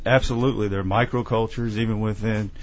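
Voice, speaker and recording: A man from Tennessee, an author being interviewed, telling his story in a measured, earnest way. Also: lowish voice, fairly even intonation, muffled recording, a little hiss or hum. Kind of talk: conversational interview.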